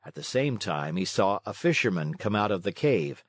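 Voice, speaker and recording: A man's voice: on the low side, sing-song, normal speed, dry recording. Man narrating a children's storybook, dry recording.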